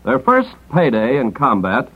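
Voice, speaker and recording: A man announcing in scripted 1950s radio style, earnest and deliberate, on a dull old recording. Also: medium pitch, wildly swooping pitch, husky.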